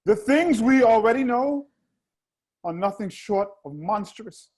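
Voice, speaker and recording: An actor playing a troubled chorus leader who asks solemn, measured questions in a reading held over a video call. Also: male voice, sits high, expressive, darkish tone, slightly rough, full character voice.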